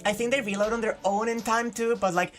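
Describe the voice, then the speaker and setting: A woman's voice: fairly high pitch, fairly even intonation, brisk. A woman hosting a playthrough, reading a visual novel aloud with informal, sweary, jokey asides. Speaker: host of a game playthrough. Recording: music playing behind the voice. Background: game music.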